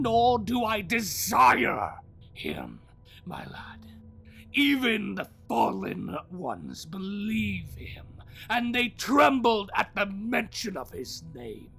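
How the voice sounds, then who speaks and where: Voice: medium pitch, vocal fry, pushed and bright, slightly rough, exaggerated voice. An actor playing an ancient, sinister immortal storyteller in an audio drama, theatrical, bitter and mocking, drawing out riddles and slipping into hissing whispers. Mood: heated, taunting.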